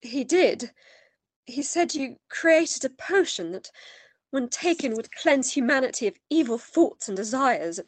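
An actress in a radio play playing an earnest, distraught gentlewoman, emotive and theatrical in her pleading. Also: high-pitched, expressive, measured pace, breathy edge, dry recording, heavily acted. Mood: troubled questioning.

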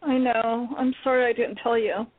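A woman's voice: on the high side, fairly even intonation, normal speed, no echo, lightly acted. A woman acting a hesitant, defensive young female college student in a radio play. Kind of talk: amateur radio play reading. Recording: tinny phone-line radio broadcast.

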